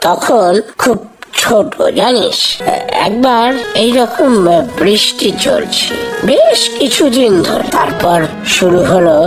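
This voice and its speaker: A performer voicing an excitable, nostalgic middle-aged father in a cartoon, with a West Bengal Bengali accent. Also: medium pitch, slow pace, rough recording. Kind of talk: cartoon family dialogue. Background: prominent background music.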